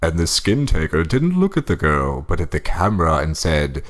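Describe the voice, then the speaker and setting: A man's voice: extremely low pitch, sing-song, moderate pace. A man playing a pompous, fussy old husband reading a horror story aloud for comedy. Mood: dramatic reading. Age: older.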